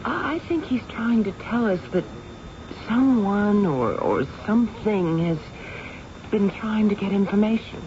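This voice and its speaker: A woman's voice: mid-range voice, animated, measured pace, lightly acted. Coy, tipsy woman, played by an actress, muffled vintage radio. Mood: hesitant, evasive. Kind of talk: old-time radio drama acting. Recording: muffled, noisy vintage radio drama recording.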